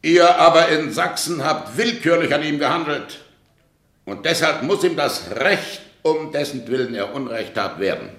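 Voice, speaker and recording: Ein Schauspieler spielt in einem deutschen Hörspiel einen strengen, autoritären Gerichtspräsidenten, der förmlich und bestimmt deklamiert. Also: male voice, fairly high pitch, animated, normal speed, a bit bright. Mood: firm, defiant. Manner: formal, declamatory, firm. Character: stern, authoritative male high court president and statesman. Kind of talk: German radio play acting. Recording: roomy, echoing chamber sound.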